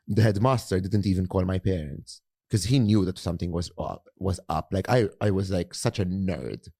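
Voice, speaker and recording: Young man, very low voice, dry close mic, rambling casual podcast guest. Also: moderate pace, mellow, no echo. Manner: rambling, heavy on "like" fillers, swears casually.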